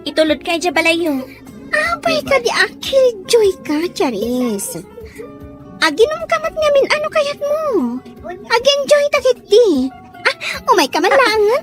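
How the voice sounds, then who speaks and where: A stubborn, whiny young party girl from an audio drama, acted by a woman, with an extremely high voice that swings in animated melody. Music plays prominently behind her defiant pleading. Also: measured pace, exaggerated voice. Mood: carefree, tipsy. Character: defiant, hard-partying young daughter drinking in a bar. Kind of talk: amateur audio drama acting.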